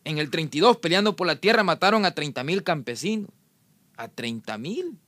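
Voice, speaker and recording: A forceful, sardonic Salvadoran peasant. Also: male voice, on the high side, very animated, moderate pace, no room sound. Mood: sardonic, indignant. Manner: folksy irony, rhetorical questions. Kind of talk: radio drama monologue.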